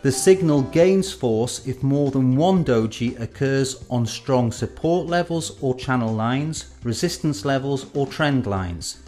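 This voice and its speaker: A man in his forties narrates an educational film at an average pitch. His delivery is scripted and measured, placing deliberate pauses for emphasis. Music plays behind his voice. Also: warm tone. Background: background music.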